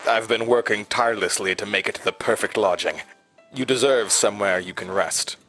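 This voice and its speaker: An eerily eager hotel manager in a horror audio drama, devoted to serving his master. His voice sits fairly low with a bright, pressing edge. He speaks with formal butler-like deference over faint music. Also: moderate pace. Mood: reverent, eager.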